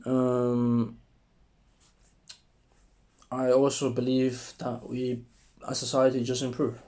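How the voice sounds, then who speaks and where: A young man with a sore, strained throat, talking casually to camera in a rambling, profane monologue while trying to keep his voice deeper. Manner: rambling, profane, relaxed and deliberately deeper delivery. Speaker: vlogger.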